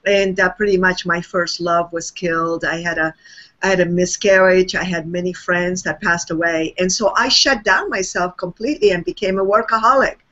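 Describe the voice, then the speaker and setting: A woman's voice: on the low side, steady tone, normal speed, fairly bright tone, muffled recording. A woman from Chile, a guest on an online show, telling her life story in a personal, reflective way. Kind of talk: interview storytelling.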